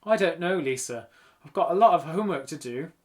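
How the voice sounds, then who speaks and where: Storybook boy, twelve, adult male reader: hesitant, nervous, fairly high, expressive. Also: fairly quick, close to an everyday voice. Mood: reluctant. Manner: reluctant, shy, unsure. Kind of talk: children's audiobook character reading.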